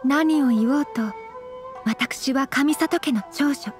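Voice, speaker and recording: A young noblewoman from an anime-style game. Her voice is high, and she speaks with formal, measured dignity, gentle but firm, over faint music. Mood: quietly firm. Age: young adult. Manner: formal, courteous, composed, measured. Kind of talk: Japanese game dub dialogue.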